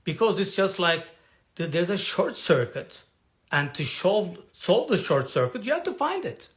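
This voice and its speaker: A man coaching an online audience in personal development, talking in a halting way with frequent restarts as he searches for words. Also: high-pitched, very animated, a bit bright. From has lived in Turkey for decades. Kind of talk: live talk to an online audience.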